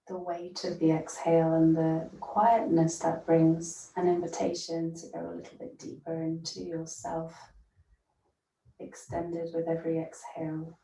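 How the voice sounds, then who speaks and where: A mellow woman's voice, recorded in a roomy, echoing space, guides a meditation. She speaks very slowly and gently, leaving long pauses as a yoga nidra teacher does. Her delivery stays soothing and very even. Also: lowish voice, slight background noise. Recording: roomy echo, distant from mic.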